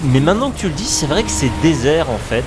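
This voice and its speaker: A man's voice: on the high side, big pitch swings, fast, music in the background. A man playing a grumbling, nostalgic office administrator in an amateur audio drama, talking with exasperated sighs. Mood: puzzled. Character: grumbling middle-aged male office administrator.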